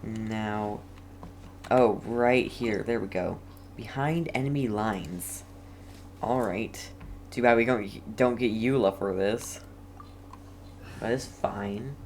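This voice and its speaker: A man's voice: on the low side, slow pace, long pauses, a little mellow, slight background noise. A man playing a game as a streamer, commenting casually and reading dialogue aloud.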